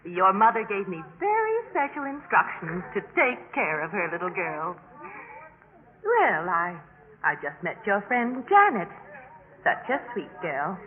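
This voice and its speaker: Gushy motherly housemother, middle-aged, fussy n lively, 50s radio play, echoey tinny. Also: mid-range voice, a little slow. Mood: effusive fondness. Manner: fond, fussy, chatty. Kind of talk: 1950s radio drama acting. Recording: echoey phone-like 1950s radio recording, audience.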